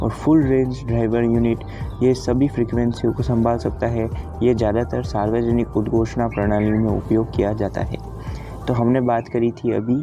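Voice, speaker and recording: A man narrates a technical explainer in a low, very even voice, going through it point by point. The recording is dull and lo-fi, with music clearly playing behind him. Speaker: presenter of an audio equipment explainer. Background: clear background music.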